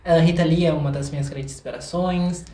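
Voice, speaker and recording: A man's voice: fairly high pitch, steady tone, a little fast, warm tone, slight background noise. Young brazilian guy chatting away in an interview, effusive, roomy echo. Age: young adult. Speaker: singer-songwriter guest. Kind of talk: casual interview chat. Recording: echoey room.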